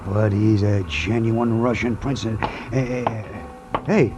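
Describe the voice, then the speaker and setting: An actor playing a jittery small-time informant who stammers in hesitant street slang, in a 1940s radio drama. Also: male voice, lowish voice, fairly even intonation, slow, over music. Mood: nervous, gabbling. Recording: muffled vintage radio recording.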